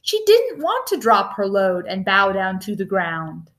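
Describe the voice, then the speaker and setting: A woman reading a rhyming picture book aloud in an expressive storytelling style. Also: mid-range voice, measured pace. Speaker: storybook reader.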